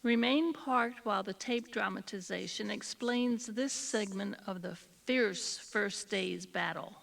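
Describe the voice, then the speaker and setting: Unhurried, creaky-voiced female tour guide. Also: mid-range voice, fairly bright tone, recorded from a distance, slight background noise. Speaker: tour guide giving directions. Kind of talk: scripted instructional narration.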